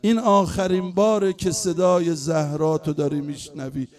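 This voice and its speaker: A male preacher voicing a dying young woman who quietly and tenderly bids her husband farewell. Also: a little high, little pitch movement, pitched below the performer's own voice, natural voice. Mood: hushed farewell. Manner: soft, pleading, hushed.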